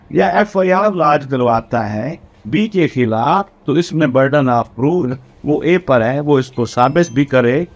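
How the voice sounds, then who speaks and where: A man's voice: mid-range voice, darkish tone. A man with a Pakistani accent giving an explanatory law lecture in a didactic way, repeating and rephrasing key points. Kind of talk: explanatory law lecture mixing Urdu and English.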